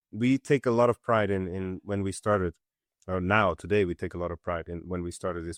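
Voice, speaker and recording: A middle-aged man from Switzerland, a company co-founder, answering interview questions in an earnest, halting way with many fillers. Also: low-pitched, no room sound, studio quality. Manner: earnest, many fillers and restarts, steady explanation. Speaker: company co-founder and CEO, guest.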